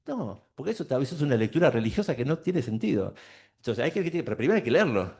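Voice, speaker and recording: A man's voice: medium pitch, big pitch swings, fast, creaky, a little mellow. A man speaking on a panel, explaining emphatically and rising into indignant bursts. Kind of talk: lecture talk on a panel.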